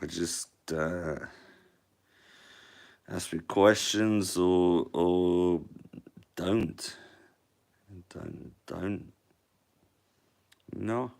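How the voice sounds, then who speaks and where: A man hosting a casual live stream in a monotone voice, with many trailing fillers. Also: sits low, very slow, vocal fry, long pauses. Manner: rolling a cigarette while talking. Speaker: livestream host.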